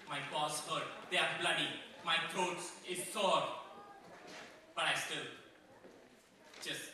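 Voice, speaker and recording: A man's voice: fairly high pitch, bright, forceful tone, voice pushed up. A man performing a stage monologue as a proud, aggrieved Rottweiler, theatrical and self-justifying. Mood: brooding, wounded. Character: proud, aggrieved Rottweiler dog pleading his case. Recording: roomy, distant mic, faint noise.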